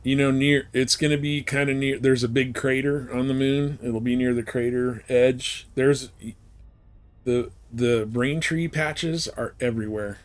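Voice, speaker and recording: A man's voice: mid-range voice, steady tone, measured pace. A man live-streaming a game, giving casual commentary and advice in a rambling, informal way.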